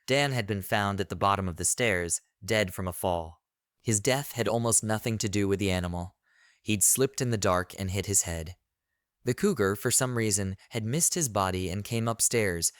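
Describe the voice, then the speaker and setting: A man reading a scripted first-person horror story in a deliberate, literary storytelling manner. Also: on the low side, smooth, no echo, studio quality. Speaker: narrator of horror stories.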